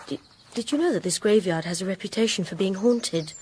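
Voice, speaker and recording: A woman's voice: medium pitch, brisk, darkish tone, a little hiss or hum, close to an everyday voice. An actress playing a reserved, principled Victorian married lady in a period radio drama, with formal, articulate, restrained delivery. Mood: reflective, subdued.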